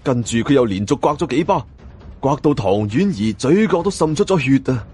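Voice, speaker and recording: Lively male Cantonese storyteller. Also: mid-range voice, normal speed, husky, soft background music. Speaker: audiobook narrator. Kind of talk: dramatic scripted first-person story narration.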